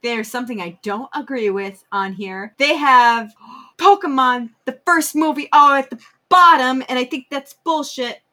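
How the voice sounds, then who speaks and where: A woman's voice: sits high, unhurried, bright, forceful tone. A woman co-hosting a podcast, chatting casually and offering her opinions in an informal conversational style. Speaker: podcast co-host.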